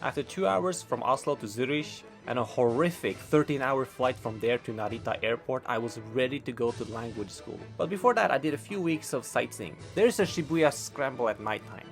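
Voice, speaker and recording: A man's voice: medium pitch, brisk, hardly any pauses, soft background music. Wry young man narrating a vlog. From Norway. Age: young adult. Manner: dry, wry, deadpan humor. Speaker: vlogger narrating his life. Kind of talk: scripted voice-over narration.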